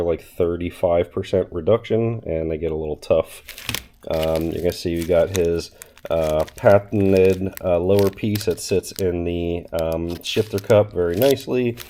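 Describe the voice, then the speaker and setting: A man's voice: low voice, dark tone. A man hosting a casual car vlog from his cold home garage, a little nasal and sniffly from a cold, chatty with plenty of ums and blunt asides. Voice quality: slightly nasal. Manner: chatty, many fillers, blunt. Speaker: car channel host.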